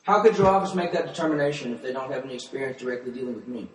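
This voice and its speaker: A man playing an argumentative, pedantic defendant who presses questions in halting, wordy legal phrasing. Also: mid-range voice, animated, a little hiss or hum, close to an everyday voice. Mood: challenging. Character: argumentative, pedantic male defendant insisting he is sane. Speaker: client defendant arguing with his lawyer. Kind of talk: confrontational legal questioning in conversation. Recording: distant mic in a reverberant, echoey room.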